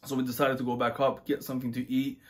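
A man chatting casually about a trip, rambling and relaxed with many fillers and restarts. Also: mid-range voice, fast. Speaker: travel vlogger. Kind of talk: casual chat.

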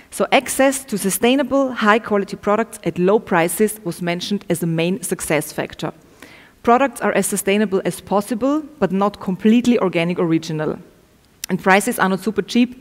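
A young woman giving a prepared academic presentation with a careful, slightly halting delivery, as a researcher sharing study findings. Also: mid-range voice. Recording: echoey, reverberant room sound.

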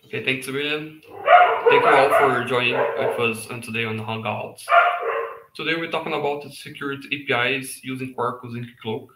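A man in his thirties hosting a meetup on a video call, reading a speaker introduction haltingly. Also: mid-range voice, steady tone, very noisy. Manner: reads haltingly with fillers. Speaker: meetup host. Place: home room. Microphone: headset. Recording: echoey room on a video call.

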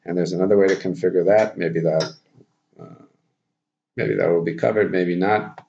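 A man in his thirties with a low-pitched voice presents a casual screencast tutorial. He talks informally, working things out aloud as he goes. The sound is dull and lo-fi, with faint noise behind him. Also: fairly even intonation, normal speed, vocal fry, dark tone. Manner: informal, thinking aloud while demonstrating. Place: small plain room indoors. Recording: dull lo-fi audio, background noise.

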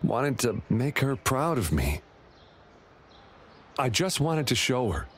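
A man's voice: medium pitch, sing-song, slow pace, a little hiss or hum. An actor playing a timid, remorseful young man in a video game, a devoted brother who speaks hesitantly and apologetically. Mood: ashamed, dejected. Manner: hesitant, apologetic, soft-hearted. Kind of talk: video game character dialogue. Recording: clear music behind the dialogue.

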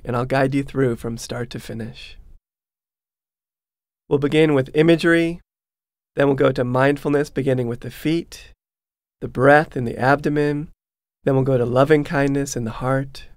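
A man narrating a guided meditation in a soothing, measured, instructional manner. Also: mid-range voice, steady tone, no echo, studio quality. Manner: soothing, measured, instructional, repeats phrases slowly. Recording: prominent meditation music with singing bowls. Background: meditation music, singing bowl tones.

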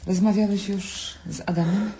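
Young mother played by an actress, tactful and tentative, mid-pitched female voice for a radio play. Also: fairly even intonation, moderate pace, warm tone, a little hiss or hum, natural voice. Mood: casual. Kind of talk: naturalistic audio drama acting.

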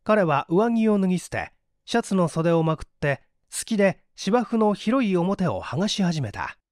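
A man narrating a mystery story as an audiobook, with an expressive, dramatic delivery. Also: high-pitched, normal speed, dry recording, studio quality. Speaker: audiobook narrator, stage actor.

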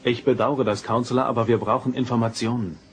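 A man's voice: medium pitch, flat delivery, fast talker, close to an everyday voice. Composed male first officer, played by an actor. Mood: businesslike. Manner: commanding, courteous, direct. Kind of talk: radio drama acting. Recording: noisy old cassette audio drama.

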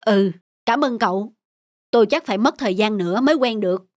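A woman's voice: mid-range voice, a little fast, no room sound, studio quality, voice pushed down, natural voice. Woman voicing a jealous young husband. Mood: calm. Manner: suspicious, accusing, then apologetic. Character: male delivery worker, jealous husband. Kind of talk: animated cartoon dubbing. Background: background music.

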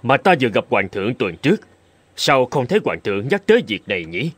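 An actor playing an amiable middle-aged lord and poet, expressive and measured, in an animated folk tale. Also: male voice, mid-range voice, dry recording. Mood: lordly.

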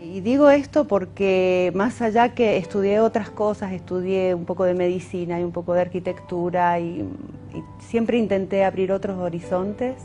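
Mellow Argentine woman, thoughtful pauses. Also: fairly low pitch, soft background music. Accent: Argentine Spanish. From Mendoza, Argentina. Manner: thoughtful, pauses often with "eh" fillers. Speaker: painter. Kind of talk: reflective interview answers.

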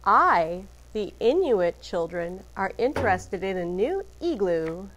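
A woman teacher reading a picture book aloud to children, deliberately emphasizing letters and phrases. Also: mid-range voice, sing-song, slight background noise.

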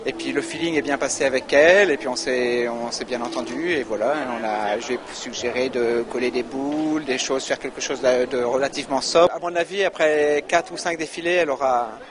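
A man, a chocolatier, describing his work in an interview, halting and restarting as he talks in an exhibition hall. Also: mid-range voice, steady tone. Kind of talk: interview answers. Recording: crowd chatter behind.

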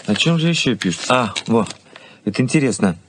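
An actor playing an earnest, skeptical young fiancé in a Soviet radio play, speaking in a measured, dry way. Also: male voice, medium pitch, animated, slight background noise, natural voice. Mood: eager, earnest.

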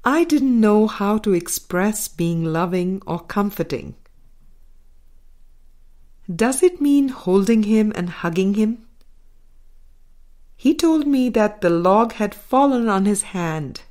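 A woman reading a spiritual book of dialogue aloud as a scripted narration, in a measured, deliberate manner. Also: medium pitch, animated, dark tone. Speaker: audiobook reader.

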